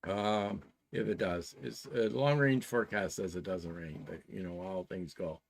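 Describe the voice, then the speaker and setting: A man in his sixties with a Canadian accent, chatting casually as a show co-host and explaining plans in a halting, methodical way. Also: deep voice, vocal fry, a little hiss or hum. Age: 60s.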